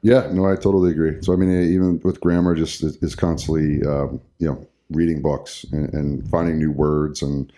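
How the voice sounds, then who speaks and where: A man with a very deep, mellow voice chats on a podcast. He rambles, restarting sentences and filling pauses as he goes. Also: flat delivery. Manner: rambling, many fillers and restarts. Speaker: podcast co-host, hockey coach. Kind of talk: casual podcast chat.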